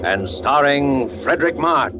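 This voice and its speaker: A man's voice: medium pitch, lively intonation, unhurried. A man hosting in a formal, portentous 1940s radio announcing style. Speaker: radio show host, announcer. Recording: dull vintage radio broadcast. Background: music.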